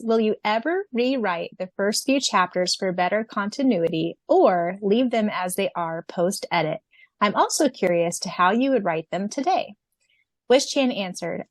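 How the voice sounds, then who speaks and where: Woman podcast co-host and audiobook narrator with a mid-range, gravelly voice and a dry sound. Enthusiastic, quick to laugh. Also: mid-range voice, no room sound. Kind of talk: casual chat and reading answers aloud.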